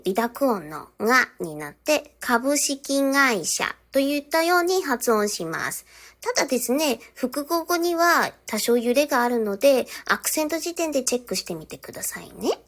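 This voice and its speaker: Female language instructor, notably high-pitched, polite and instructional, speaking directly to learners. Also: very animated, unhurried, a bit bright. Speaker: Japanese teacher. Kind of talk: scripted language lesson to camera.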